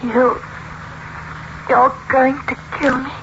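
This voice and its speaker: A high-voiced, terrified pleading woman. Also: flat delivery, slow pace, vocal fry, heavily acted. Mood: fearful. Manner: breathless, melodramatic pleading. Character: terrified, pleading woman haunted by a sinister double. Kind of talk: old-time radio drama acting. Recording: noisy, muffled old radio recording.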